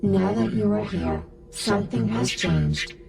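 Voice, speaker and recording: Very gravelly, slow, solemn guardian spirit king, played by a woman. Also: medium pitch, a little mellow, distant mic. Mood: solemn, weary. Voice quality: gravelly, creaky. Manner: slow, grandiose, pronouncing. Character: solemn, cryptic spirit guardian calling itself the great Pelican King. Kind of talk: scripted video game dialogue. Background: music.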